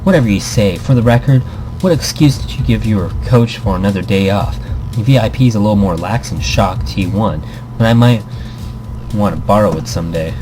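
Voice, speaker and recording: A man's voice: fairly low pitch, normal speed, music in the background, lightly acted. Man voicing a blunt, sarcastic gamer woman. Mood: cutting sarcasm. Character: sharp-tongued, blunt female pro gamer mentor, visual novel character.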